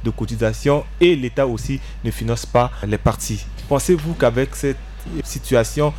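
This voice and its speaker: A man moderating a panel talk show, asking long formal questions in a measured way. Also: medium pitch, a little mellow, slight background noise.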